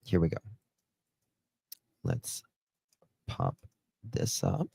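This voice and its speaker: A middle-aged man with a Southern US accent, hosting a casual livestream into a close desk mic in a halting, unhurried way. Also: very deep voice, wildly swooping pitch, gravelly, darkish tone, husky, no room sound. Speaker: livestream host. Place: small room at home, indoors. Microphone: close desk microphone.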